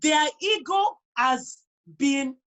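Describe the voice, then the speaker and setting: High-pitched Nigerian woman, emphatic talker. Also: extremely slow, fairly bright tone. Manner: emphatic, repeats phrases for emphasis. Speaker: guest on a talk show. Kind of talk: conversational interview, personal advice.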